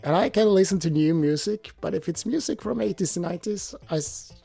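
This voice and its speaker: A man, a guest on a casual podcast, relaxed and given to chuckling as he talks. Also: sits high, fairly quick, creaky, husky, no room sound.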